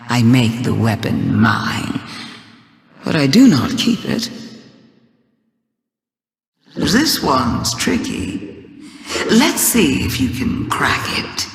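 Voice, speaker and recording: A woman's voice: low voice, vocal fry, exaggerated voice. A woman voicing a scheming alien witch queen in a video game, theatrical and taunting, savoring each word through cavernous reverb. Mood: mocking, sly. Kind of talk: dramatic video game monologue. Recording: long reverberant hall echo.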